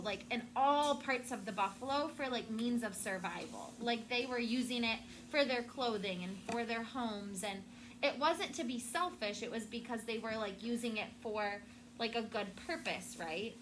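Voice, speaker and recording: A woman teacher with a high voice leads a classroom read-aloud for children. She explains things in simple words and often checks that they follow. The recording has clear room noise. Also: animated, moderate pace. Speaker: schoolteacher.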